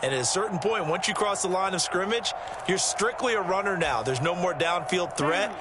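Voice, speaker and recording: A man's voice: high-pitched, lively intonation, brisk, very noisy. A man playing an emphatic color analyst in a football video game, explaining plays conversationally. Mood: analytical. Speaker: color commentator. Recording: loud game sound behind the voice.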